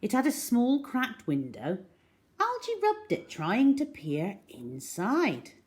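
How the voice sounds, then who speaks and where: A woman storyteller reading a children's story aloud in an expressive, dramatic way. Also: fairly high pitch, slow. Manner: dramatic, animated.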